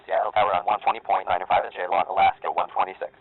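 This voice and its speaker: Male airline pilot reading back clearances, quick and clipped, tinny radio sound. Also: low-pitched, flat delivery. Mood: routine. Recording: narrow radio band.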